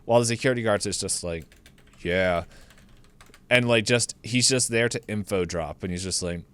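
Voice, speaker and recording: A man's voice: fairly low pitch, normal speed, fairly bright tone, smooth. A man co-hosting a podcast, giving a casual, rambling, comedic movie recap and acting out the characters' lines in his own words. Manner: laughs through the telling.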